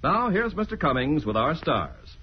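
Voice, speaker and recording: A man's voice: fairly high pitch, big pitch swings, moderate pace, a little hiss or hum. A man working as a radio announcer, reading scripted narration in a polished, formal 1950s broadcast manner. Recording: muffled vintage radio broadcast recording.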